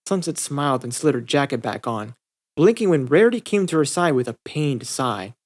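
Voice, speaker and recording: A man's voice: medium pitch, big pitch swings, moderate pace, a little mellow. Man, audiobook narrator, scripted fiction reading, storytelling delivery.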